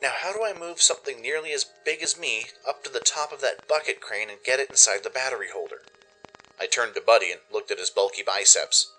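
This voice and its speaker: A man reading scripted first-person story narration in an expressive, dramatic way, as the narrator of a radio play. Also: medium pitch, brisk, soft background music, poor audio.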